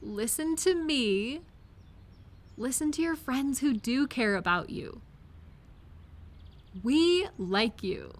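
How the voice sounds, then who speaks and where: A woman acting as an affectionate partner comforting someone close in an audio roleplay. Her voice sits on the high side and she takes her time, speaking very slowly. She talks gently and directly to the listener, encouraging them. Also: animated. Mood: encouraging, tender. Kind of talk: intimate comfort audio roleplay, one-sided conversation.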